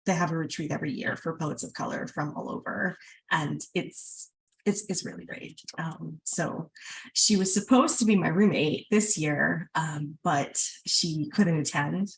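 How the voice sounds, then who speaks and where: A woman hosting an event in a casual, chatty way, speaking haltingly. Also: low-pitched, creaky, slight background noise, rough recording. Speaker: event host.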